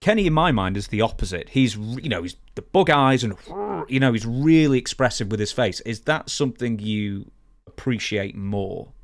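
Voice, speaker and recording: A man's voice: medium pitch, animated, moderate pace. A man co-hosting a podcast, asking questions and clarifying points in a casual discussion. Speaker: podcast co-host.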